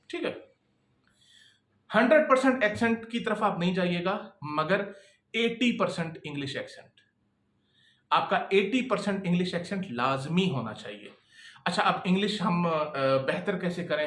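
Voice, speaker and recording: A man's voice: high voice, fairly bright tone. Brief: male instructor, raised voice, casual lesson style, keeps asking "okay?", roomy echo. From Pakistan. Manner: conversational, keeps checking in with "okay?". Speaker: freelancing instructor and employer. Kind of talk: casual talking-head lesson.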